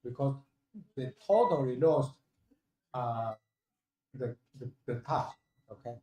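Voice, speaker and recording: A man in his sixties from Taiwan, with a Taiwanese accent and a voice recovering from being lost, giving a halting, humble thank-you speech as a guest professor. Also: mid-range voice, expressive, warm tone. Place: indoor hall at a university ceremony. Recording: echoey room.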